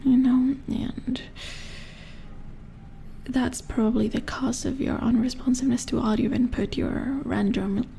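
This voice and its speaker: Female engineer played by a woman for ASMR robot-repair roleplay; mellow, very creaky whisper, unhurried, mildly curious, thinking aloud. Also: a little high, fairly even intonation, a little hiss or hum. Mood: matter-of-fact, explaining. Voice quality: breathy whisper with creaky edges. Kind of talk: soft-spoken ASMR roleplay.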